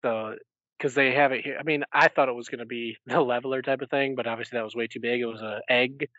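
A man's voice: medium pitch, steady tone, quick pace, bright, forceful tone, dry recording. A man co-hosting a podcast in a casual fan discussion, recorded in dry, dull, lo-fi sound. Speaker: podcast co-host.

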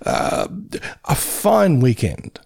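Gravelly, lively Australian man. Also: medium pitch, no echo, clean studio sound. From Geelong, Australia. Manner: easygoing, laughs often, measured. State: slightly hoarse. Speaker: podcast co-host. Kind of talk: casual chat.

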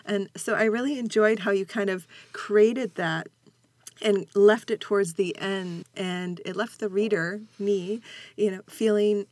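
A woman's voice: mid-range voice, fairly even intonation, measured pace, a little mellow, no echo. A woman hosting a conversational podcast interview in an encouraging, attentive manner.

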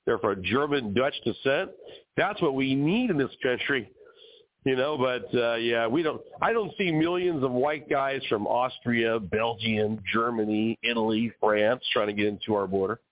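A man's voice: mid-range voice, normal speed. Ranting male radio host, tinny sound. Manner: emphatic, ranting, addresses listeners directly. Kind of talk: opinionated call-in radio talk. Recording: narrow phone-like radio sound.

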